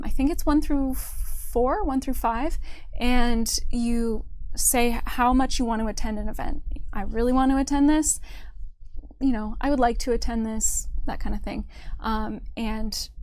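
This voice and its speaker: Female event organizer for a casual livestream segment, slightly high-pitched, explaining plainly. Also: a little mellow. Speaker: convention event organizer and company staff member.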